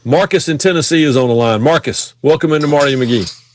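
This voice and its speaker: A man's voice: on the high side, fast talker, a little hiss or hum. A man co-hosting a sports radio show, chatting casually in a rambling, self-deprecating way with lots of restarts. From North Carolina.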